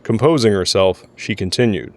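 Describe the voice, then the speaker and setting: A man's voice: fairly low pitch, normal speed, a little mellow. A man narrating a scripted audio drama in the role of storyteller.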